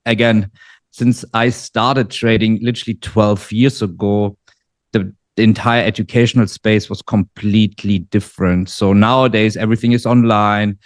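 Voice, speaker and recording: A man in his forties from Germany with a German accent, talking in a relaxed interview with many fillers and restarts. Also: on the low side, normal speed, no room sound. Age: 40s. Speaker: guest trader. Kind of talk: casual interview. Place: living in Dubai.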